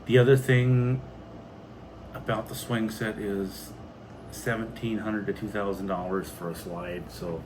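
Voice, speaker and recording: A man's voice: lowish voice, fairly even intonation, unhurried, noisy background. A man with an American accent chatting casually on a homestead vlog in a plainspoken, folksy way. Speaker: homesteading video host.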